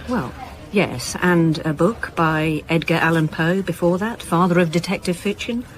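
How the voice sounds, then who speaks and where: An actress playing a sardonic, weary senior police detective in a naturalistic radio drama, with a dry, wry delivery. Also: female voice, on the low side, normal speed, lightly acted. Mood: businesslike.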